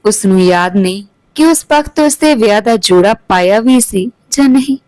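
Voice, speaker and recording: A woman's voice: mid-range voice, expressive, normal speed, a little mellow, dry recording, rough recording. A woman narrating a scripted emotional family story as a storyteller.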